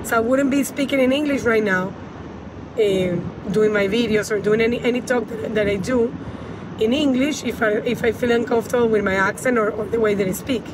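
A woman's voice: on the high side, fairly quick. A woman in her thirties with a Spanish accent gives casual advice to the camera as a coach, repeating phrases for emphasis. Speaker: women's empowerment coach giving tips. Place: inside a car. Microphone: phone at arm's length. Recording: loud car and road noise.

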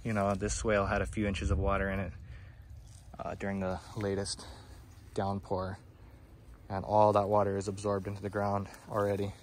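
A man giving a relaxed walking tour of his garden outdoors, with lots of "um, you know," filmed on a handheld phone. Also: lowish voice, moderate pace, long pauses, a little hiss or hum. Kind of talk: casual walking tour explanation. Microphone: phone camera held by the speaker.

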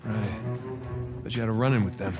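A man's voice: lowish voice, steady tone, a little fast, natural voice. An actor playing an easygoing, joking thief and adventurer in a video game, casual in his delivery. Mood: casual, curious. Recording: game scene under loud music.

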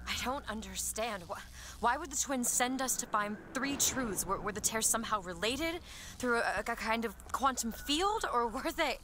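A woman's voice: on the high side, expressive, moderate pace, bright, forceful tone, a little hiss or hum. An actress voicing a curious, earnest young woman companion in a game, asking questions. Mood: puzzled.

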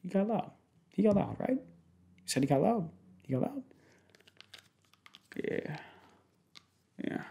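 A young man in a casual, improvised boyfriend roleplay, speaking informally in slangy, halting, rambling phrases. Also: high-pitched, very animated, gravelly, warm tone. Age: young adult. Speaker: ASMR roleplay performer playing a protective boyfriend. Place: small indoor room at home.

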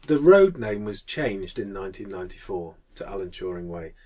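A man's voice: low voice, very animated, normal speed, warm tone. A man in his thirties with a Mancunian accent, hosting a casual podcast chat. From Manchester, England. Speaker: podcast host.

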